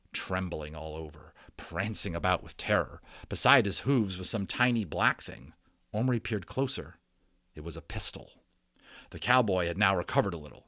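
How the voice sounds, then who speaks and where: Low-voiced man reading a story aloud. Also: no room sound, studio quality. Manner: expressive, explains the story as he goes. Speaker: storybook reader. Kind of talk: dramatic read-aloud with conversational asides.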